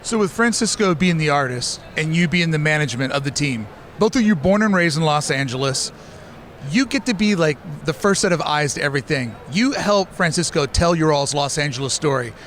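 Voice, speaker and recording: A man hosting a casual interview at a convention, asking quick, upbeat questions. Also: on the high side, animated, a bit bright, slight background noise. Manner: fast, upbeat, chatty questions. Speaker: podcast host interviewing at a convention.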